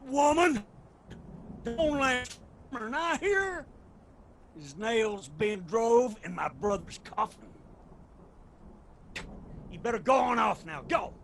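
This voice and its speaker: An actor playing a vengeful, stubborn mountain patriarch in a radio drama, with an Appalachian accent and a defiant, commanding manner. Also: male voice, very high-pitched, lively intonation, slow pace, vocal fry, long pauses, a bit bright, a little hiss or hum, heavily acted. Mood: cold, angry. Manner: defiant, commanding, rustic speech.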